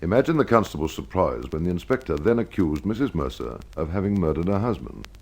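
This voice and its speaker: A man narrating a scripted old-time radio detective story as its host, in a measured, dramatic storytelling manner. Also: low-pitched, very animated, background noise.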